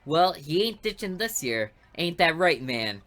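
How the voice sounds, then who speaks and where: A woman voicing a brash, hot-headed teenage boy athlete who talks in a gruff, bossy way. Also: low-pitched, big pitch swings, a little slow, fairly bright tone, heavily acted.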